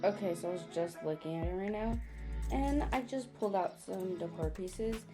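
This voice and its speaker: A woman's voice: medium pitch, normal speed, loud music behind the voice. A young woman chatting casually to her viewers as she gives a room tour, talking to them directly. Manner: chatty, addresses viewers as you guys. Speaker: lifestyle vlogger.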